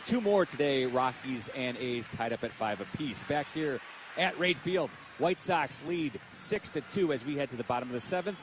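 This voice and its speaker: Man, American accent, mid-pitched, radio score update, clear noise behind. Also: expressive, moderate pace. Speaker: radio studio announcer giving score updates. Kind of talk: scripted sports update.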